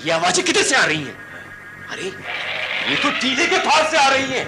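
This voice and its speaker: A man's voice: extremely high pitch, very animated, moderate pace, creaky, loud music behind the voice, exaggerated voice, rough recording. An actor playing a wary, insistent elephant catcher in a radio drama, giving repeated orders to his partner. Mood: urgent, alarmed. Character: world-weary elephant catcher, urgent, bossy and wary.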